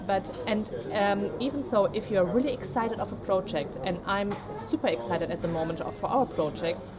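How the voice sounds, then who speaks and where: A woman co-hosting a casual motivational podcast, speaking in halting English with non-native phrasing and many fillers. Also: medium pitch, over a noisy crowd. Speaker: podcast co-host.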